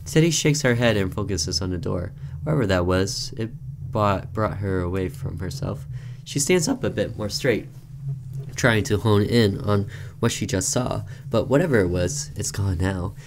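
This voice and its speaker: Low-voiced man hosting a game playthrough. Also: normal speed, gravelly, background noise. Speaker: gaming video host. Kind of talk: reading a visual novel aloud and giving casual commentary.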